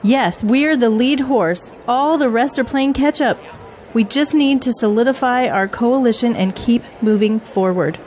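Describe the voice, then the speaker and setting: Busy political strategist and mom played by a woman, middle-aged, high-pitched, earnest, radio-drama delivery, slight noise behind. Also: a little slow, darkish tone, close to an everyday voice. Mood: businesslike, driven. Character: busy political campaign manager and single mother. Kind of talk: audio drama acting.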